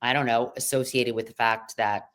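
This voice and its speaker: A woman's voice: rumbling low, fairly even intonation, normal speed, fairly bright tone. A middle-aged American woman chatting casually as a podcast guest, full of fillers and restarts. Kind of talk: casual chat.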